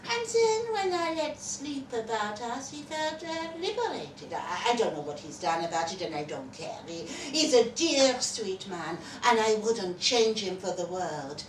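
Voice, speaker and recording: A woman's voice: a little high, very animated, a little slow, hardly any pauses. A woman voicing a wry, dramatic French wife confessing secrets to her lover in a live story reading. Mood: rueful, wry. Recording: roomy, distant festival mic with background noise.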